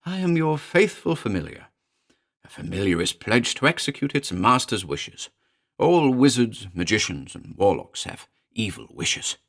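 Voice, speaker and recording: A man voicing a small, malign male demon familiar who speaks in a shrill, mocking, leering way. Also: very animated, measured pace, creaky, full character voice. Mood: gloating.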